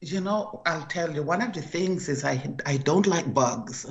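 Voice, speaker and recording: An older woman, originally from Costa Rica, is interviewed about her book and talks in a chatty, anecdotal way. Her voice has a gravelly fry. The sound is slightly muffled and lo-fi. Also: low voice, a little slow. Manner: chatty, storytelling, repeats herself. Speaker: guest author. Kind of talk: interview conversation.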